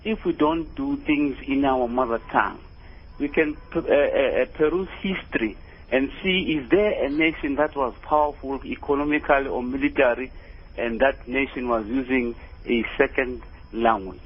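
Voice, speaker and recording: A South African man speaks as a phone-in caller with a rough, husky edge. He presses his opinion earnestly and emphatically, doubling back on his words. The sound is muffled, like radio. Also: mid-range voice, normal speed. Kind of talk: earnest opinion on a call-in show.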